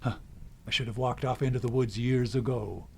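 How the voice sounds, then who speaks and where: A man's voice: mid-range voice, steady tone, moderate pace, creaky, darkish tone, slight background noise, natural voice. An actor playing a cranky, world-weary old man in the woods, with a folksy, grumbling manner, in a radio drama. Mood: bitter, grumbling.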